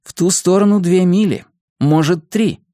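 A man's voice: sits high, moderate pace, dark tone, no room sound, studio quality, pitched above the performer's own voice, natural voice. A man voicing an encouraging, decisive young boy who leads his friends in an audiobook story. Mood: decisive, eager.

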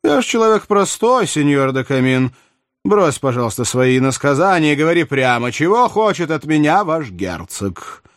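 Man playing a cunning, theatrical French king, pitched high. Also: big pitch swings, moderate pace, no echo, clean studio sound. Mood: wry, needling. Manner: wheedling, exclamatory, ironic. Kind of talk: dramatized audiobook reading of a historical novel.

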